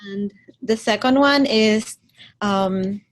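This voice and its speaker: A woman's voice: medium pitch, slow pace. A female graduate student telling a story in a workshop discussion, acting out quotes. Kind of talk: casual group discussion.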